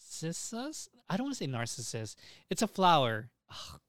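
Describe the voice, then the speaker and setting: Podcast host, young male, clean dry sound, clear voice, excitable and profane, informal delivery. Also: medium pitch, fairly quick, dry recording. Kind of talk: casual podcast chat.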